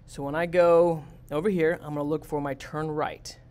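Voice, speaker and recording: A man's voice: fairly high pitch, level tone, normal speed, darkish tone, smooth. A man, a teacher, walking his class step by step through a robot programming task in a screen-recorded lesson. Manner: plain, step-by-step, talks students through it.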